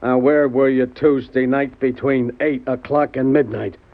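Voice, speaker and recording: An actor playing a bossy, suspicious police lieutenant who gives curt commands and questions in an old radio crime drama. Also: male voice, medium pitch, flat delivery, measured pace, natural voice. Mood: stern, accusing. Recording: muffled old radio recording.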